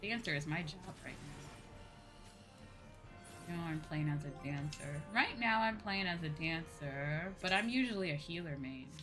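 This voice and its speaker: Low-pitched woman streamer chatting over music. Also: expressive, normal speed. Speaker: streamer, VTuber host. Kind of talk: casual chatty game livestream commentary.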